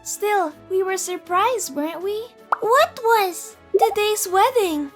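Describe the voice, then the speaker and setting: A woman's voice: very high voice, slow. A woman voicing a polite young female college student, narrating and chatting in a scripted manga story. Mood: chatty. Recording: music behind the voice.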